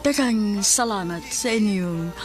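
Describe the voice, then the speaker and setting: A woman's voice: mid-range voice, measured pace. A woman with a Cebuano accent reading scripted announcements as the announcer of a radio drama. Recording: loud music bed under voice. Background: music.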